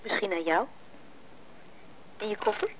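A woman's voice: medium pitch, expressive, measured pace, a bit bright, poor audio. An actress playing an eager, inquisitive woman in a radio drama, curious and insistent. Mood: teasing curiosity.

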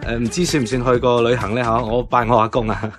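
Casting: actor as socially clumsy man on a date, Hong Kong Cantonese, radio drama, clear music bed. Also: medium pitch, little pitch movement, fast talker, close to an everyday voice. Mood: awkward. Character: awkward, socially clumsy male speed-dater.

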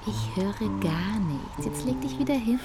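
A woman's voice: medium pitch, lively intonation, unhurried. An actress playing a sleepy young female hiker in a radio drama, coaxing in an intimate way. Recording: loud music and effects behind.

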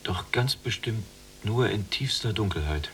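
A man's voice: fairly low pitch, animated, measured pace, a little hiss or hum. A man acting in a literary radio drama as a caring, dreamy younger prisoner with earnest, lyrical phrasing. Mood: dreamy, entranced.

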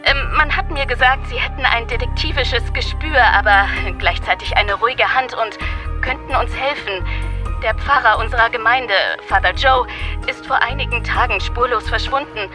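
A woman's voice: a little high, moderate pace, music in the background, lightly acted. A woman playing a friendly, concerned nun in an audio drama, explaining things in a conversational, slightly hesitant way. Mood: hesitant, worried. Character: friendly, concerned Nigerian nun working for peace. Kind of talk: interactive audio drama dialogue.

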